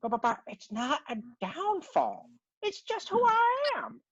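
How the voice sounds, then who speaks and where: A woman's voice: very high voice, very animated, a little slow, no room sound, full character voice. A woman playing a timid, kind, earnest bird with a stammering manner in a comedy table read. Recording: dry, dull lo-fi audio.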